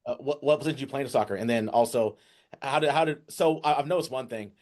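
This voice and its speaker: Fast-talking male co-host. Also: mid-range voice, a little mellow. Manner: stumbling, quick questions. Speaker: podcast co-host, receivers coach. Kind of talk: casual interview.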